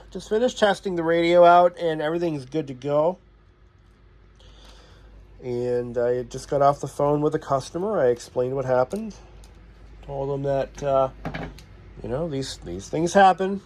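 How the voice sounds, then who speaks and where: Higher-pitched man chatting through a repair vlog. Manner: conversational, thinking aloud. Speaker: radio repairman and video host. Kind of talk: casual vlog chat while working.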